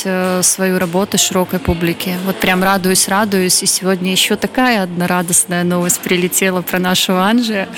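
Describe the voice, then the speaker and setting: A woman's voice: mid-range voice, noisy background. A woman artist answering radio interview questions in an earnest, reflective way.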